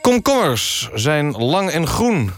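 A man hosting a satirical radio news magazine, presenting mock-serious news in a deadpan manner. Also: a little high, slow, gravelly, soft background music. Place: radio studio.

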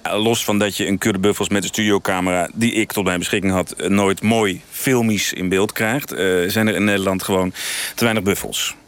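A man's voice: sits low, steady tone, fairly quick. A man playing an earnest, frustrated TV news director with cinematic dreams, speaking hesitantly and repeating himself in a mock radio interview. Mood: earnest explaining. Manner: hesitant, rambling, repeats himself, self-serious. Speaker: interview guest.

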